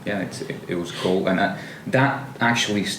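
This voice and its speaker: A young adult man from Finland, chatting casually as a podcast guest, relaxed and thoughtful with many fillers and restarts. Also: low voice, normal speed. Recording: roomy, distant mic, faint noise.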